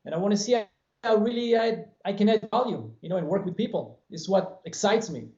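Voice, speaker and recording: A man's voice: high-pitched, expressive, brisk, darkish tone. A man in his forties with an Israeli accent, speaking earnestly and motivationally as an interview guest over a video call from a bare room. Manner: earnest, motivational, gestures with hands. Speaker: guest, franchise business owner. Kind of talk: interview answers. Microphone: webcam on video call. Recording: roomy dull video-call audio.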